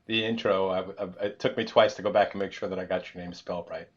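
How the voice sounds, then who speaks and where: Podcast host, man, low voice, easygoing and chatty, muffled lo-fi sound. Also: fairly even intonation, fast talker. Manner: easygoing, chuckles and stutters on starts. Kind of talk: casual interview hosting.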